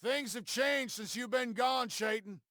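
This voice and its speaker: An actor playing a sly, boastful old western sheriff in a video game, gravelly, folksy and mocking. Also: male voice, extremely high pitch, unhurried, fairly bright tone, no echo, studio quality. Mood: warning, heated. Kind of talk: scripted game cutscene acting.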